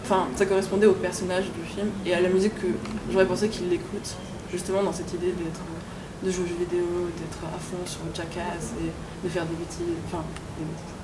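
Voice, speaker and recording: A woman's voice: on the low side, level tone, a little fast, few pauses. A young woman filmmaker answering interview questions casually, hesitant, with many fillers and restarts. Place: café venue. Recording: echoey room with ambient noise.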